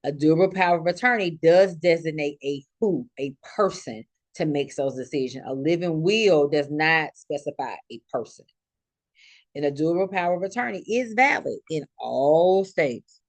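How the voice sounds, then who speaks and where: An instructor with a mid-pitched voice delivers an informal classroom-style lecture. The delivery is explanatory, circling back to restate key points in other words. The sound is dry, with very little room echo. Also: animated, moderate pace. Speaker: instructor lecturing healthcare students.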